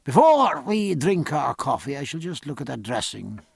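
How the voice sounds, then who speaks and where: A man voicing a learned ship's surgeon, drily earnest, with a measured, formal and pedantic manner. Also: medium pitch, sing-song, gravelly, breathy edge, no echo, studio quality, full character voice. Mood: businesslike.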